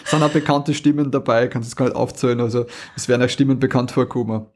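A man's voice: medium pitch, steady tone, fast talker, darkish tone. A man co-hosting a podcast about audio dramas, talking casually with many fillers and restarts. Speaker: podcast co-host.

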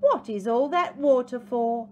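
A woman's voice: a little high, animated, measured pace, a little hiss or hum, pitched above the performer's own voice. A woman voicing a chatty, confident male platypus in a storybook, giving emphatic advice.

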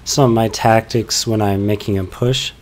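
A young man talks calmly over gameplay footage, walking through his tactics with plenty of "uh" fillers. His voice is low and fairly flat, and it sounds a little stuffy because he is getting over a cold. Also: normal speed. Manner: calm, explanatory, many "uh" fillers. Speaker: gameplay commentator. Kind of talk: voice-over commentary on game footage.

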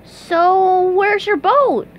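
A woman voicing an eager, clueless, excitable cartoon sponge boy in a storybook reading, with noise behind the voice. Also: very high voice, very slow, pitched above the performer's own voice, full character voice. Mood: excited.

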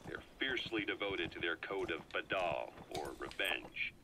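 A man voicing a grave veteran spy who delivers a deliberate, weighty scripted briefing in a video game. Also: low voice, expressive, sharp tone, slight background noise, heavily acted. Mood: grave, measured. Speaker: intelligence briefer on an audio tape. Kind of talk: dramatic scripted briefing narration. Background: horse hooves and game ambience.

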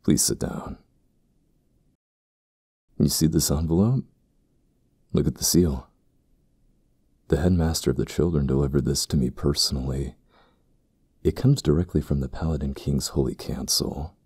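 An actor playing a stern, ambitious temple priest in a fantasy audio drama, speaking formally and gravely with a husky, gravelly voice. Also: male voice, rumbling low, fairly even intonation, unhurried, pauses often, a little mellow, no room sound, clean studio sound, exaggerated voice. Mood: solemn concern. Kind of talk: fantasy audio roleplay acting.

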